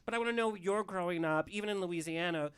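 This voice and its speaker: A man's voice: high-pitched, quick pace, fairly bright tone, clear, resonant tone. A man from Southern California hosting a casual talk show, chatty and reflective as he puts questions to guests. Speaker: host.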